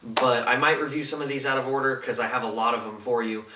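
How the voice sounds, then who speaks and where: A man's voice: medium pitch, level tone, fast talker, sharp tone. A man giving a casual, unscripted movie review as a video host, speaking enthusiastically and emphatically with informal slang. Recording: roomy echo, distant microphone.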